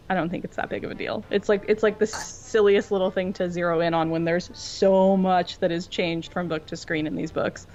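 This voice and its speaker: A woman co-hosting a podcast in casual, wry, informal discussion. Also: on the low side. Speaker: podcast co-host. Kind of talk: casual pop culture discussion. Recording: dull, noisy room recording.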